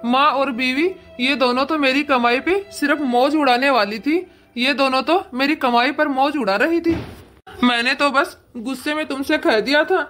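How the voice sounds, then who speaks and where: A woman's voice: high voice, moderate pace, fairly bright tone, pitched below the performer's own voice. A woman voicing a remorseful, ailing son and husband in a cartoon moral story, pleading plaintively and earnestly. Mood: apologetic, tender.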